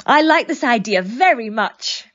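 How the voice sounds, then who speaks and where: A woman's voice: on the high side, expressive, a little slow, a bit bright. A woman playing a polite, naively curious newcomer in an audio drama, with formal, innocent delivery and a dull recording. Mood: innocent, eager.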